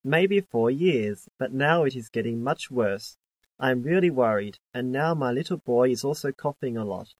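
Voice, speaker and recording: A man's voice: medium pitch, expressive, no room sound. Man reading English test dialogues, dry sound. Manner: plain, measured textbook delivery. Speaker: dialogue reader on a listening test. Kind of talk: scripted reading of exam dialogues.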